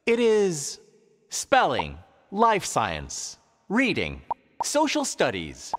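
An upbeat cartoon game show host for a video game. His pitch is fairly high and leaps dramatically, with slow, emphatic delivery. The voice rings through a large hall. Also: huge pitch swings, fairly bright tone, heavily acted. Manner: theatrical, emphatic announcing. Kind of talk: scripted quiz show presenting. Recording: large hall reverb, faint crowd noise.

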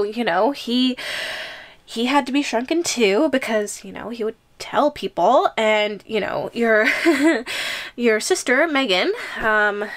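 A woman playing a lovesick, possessive yandere giantess in an audio roleplay, giggly and coaxing with a halting delivery. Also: a little high. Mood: nervous, possessive. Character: clingy, lovesick yandere giantess, sweet but menacing.

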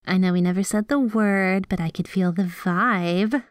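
Woman voicing a yandere girlfriend, female, medium pitch, affectionate, playfully possessive, jealous, ASMR roleplay, dry sound. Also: moderate pace, dry recording, lightly acted. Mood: fondly nostalgic. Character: sweet, obsessively devoted, jealous yandere girlfriend. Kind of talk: ASMR roleplay, scripted one-sided conversation.

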